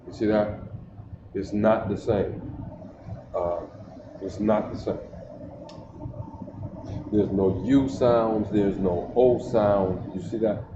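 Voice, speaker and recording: Slow, deliberate man drilling letter sounds. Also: fairly low pitch. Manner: repeats syllables and keeps checking "you see that?". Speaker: teacher. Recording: echoey, dull, noisy livestream audio.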